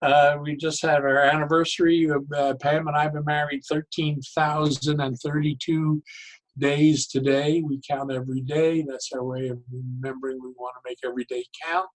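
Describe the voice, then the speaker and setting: A man in his sixties or older chatting as an interview guest, halting and reflective, often pausing mid-sentence. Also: on the high side, little pitch movement, rough recording. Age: 60s or older. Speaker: podcast guest, psychologist and humor speaker. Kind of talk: casual interview chat.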